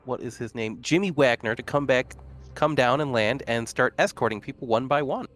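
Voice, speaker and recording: A man's voice: mid-range voice, normal speed, a bit bright. A man playing a tabletop game, chatting casually and thinking aloud as he plans.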